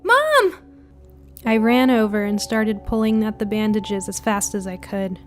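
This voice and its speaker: A woman's voice: medium pitch, level tone, normal speed, a little mellow. Woman narrating a spooky story over music. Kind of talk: scripted first-person spooky story narration.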